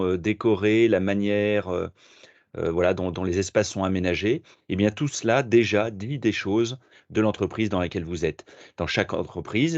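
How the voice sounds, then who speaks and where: A middle-aged French man being interviewed as a philosopher, talking in a measured, reflective way with frequent hesitations. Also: fairly low pitch, darkish tone, no echo, dull audio. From France. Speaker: podcast guest, philosopher and management consultant. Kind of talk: interview answers.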